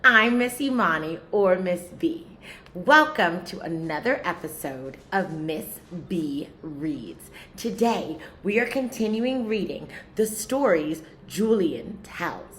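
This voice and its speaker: A woman teacher addressing young pupils in a read-aloud lesson, instructive, asking questions with pauses and reading stories expressively. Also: fairly low pitch, lively intonation, fairly bright tone, slight background noise.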